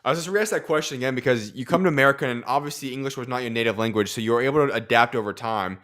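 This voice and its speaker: A man's voice: mid-range voice, rapid-fire, fairly bright tone. A young man hosting a boxing interview from a studio desk microphone, asking long, detailed questions. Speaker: host.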